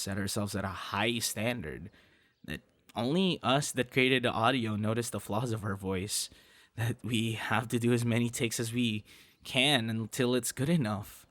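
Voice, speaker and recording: Bashful, low-voiced young boyfriend played by a man. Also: a bit bright. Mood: earnest, modest. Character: affectionate, bashful young man on a picnic date. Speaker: ASMR voice actor. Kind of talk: ASMR boyfriend roleplay.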